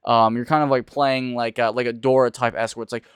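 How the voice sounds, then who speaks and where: A young man with a smooth, clear voice in a very dry recording. He talks like an online reviewer, casual and rambling, and leans on words for emphasis. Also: mid-range voice, level tone, quick pace, no room sound. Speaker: video reviewer and streamer. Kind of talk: casual reaction commentary.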